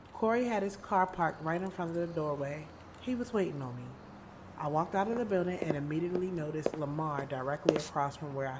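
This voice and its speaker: A woman's voice: fairly low pitch, a little hiss or hum, close to an everyday voice. A woman playing a frank, defensive young woman who narrates her own love-triangle drama in an audio series, with music under her voice. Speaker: narrator and lead character. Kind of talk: audio drama acting with first-person narration. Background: background music.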